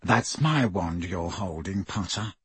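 A haughty teenage boy wizard , put on by a male reader, speaks in a lower, colder register, clipped and superior. The tone is breathy and husky throughout, recorded close and completely dry with no room sound. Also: lively intonation, takes it slowly, a bit bright, no room sound, heavily acted. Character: Draco Malfoy, arrogant teenage boy wizard. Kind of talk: audiobook reading.

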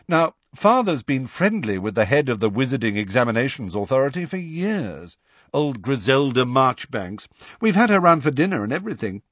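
A man's voice: mid-range voice, sing-song, moderate pace, a bit bright, no room sound, dull audio, pitched above the performer's own voice. Man as a smug, swooping teenage braggart. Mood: smug, boastful. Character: smug, boastful, privileged teenage schoolboy.

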